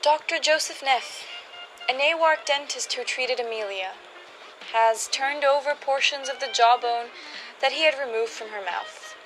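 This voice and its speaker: Teen girl as high-voiced formal announcer. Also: measured pace, a bit bright, faint music behind, low-quality recording. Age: teens, high school student. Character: formal 1920s announcer reporting evidence. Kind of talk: radio drama announcement.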